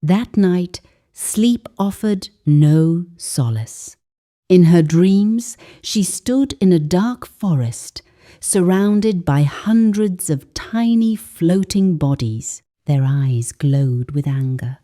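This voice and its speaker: A woman's voice: on the low side, expressive, slow pace, dark tone. A woman narrating a folktale as a dramatic, scripted storyteller.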